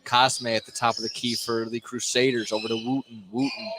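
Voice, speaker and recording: A man's voice: mid-range voice, little pitch movement, a little fast, rough recording. A man with an American accent doing live play-by-play radio commentary of a basketball game. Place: indoor sports gym. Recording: loud gym noise behind. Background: loud game ambience.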